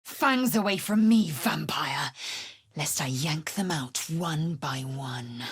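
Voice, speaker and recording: An actress playing a proud, ruthless alien warrior woman in a video game, speaking with clipped, commanding disdain and a husky voice. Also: low voice, lively intonation, takes it slowly, a little hiss or hum, exaggerated voice. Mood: disdainful, threatening. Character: stern, imperious female alien githyanki warrior, proud and ruthless. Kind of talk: scripted video game character lines.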